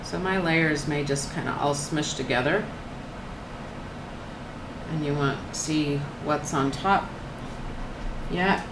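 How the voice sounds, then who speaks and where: Older woman, low slightly croaky voice, soft-spoken painting chat; echoey room with a steady air-conditioner hum. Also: slow, long pauses. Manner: soft-spoken, thinks aloud with trailing restarts. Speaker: painting channel host. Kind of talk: casual talk while painting, faith reflection.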